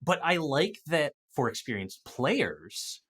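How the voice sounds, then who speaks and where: A man in a casual gaming discussion, talking as an experienced dungeon master in an animated, opinionated way. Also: fairly high pitch, takes it slowly, slightly rough.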